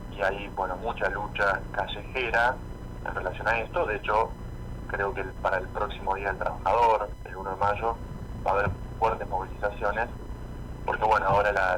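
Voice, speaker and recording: Argentine guy doing radio column commentary, kinda low voice, stops and repeats words while thinking, bit of background noise. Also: fairly bright tone, poor audio. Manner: halting, thinking aloud, repeating words as he goes. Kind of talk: spoken political and economic commentary.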